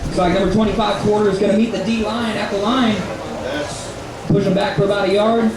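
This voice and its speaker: A man's voice: high-pitched, flat delivery, fairly quick. A man announcing football plays over a stadium public-address system. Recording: echoey stadium loudspeaker, loud crowd and music.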